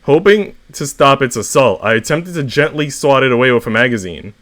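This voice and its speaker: Male streamer for short-story read-alouds, expressive delivery, medium pitch. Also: fairly quick.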